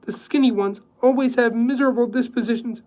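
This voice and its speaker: Man playing teasing woman, bantering, very high, loud. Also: fairly quick. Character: bantering plump woman who writes cookbooks.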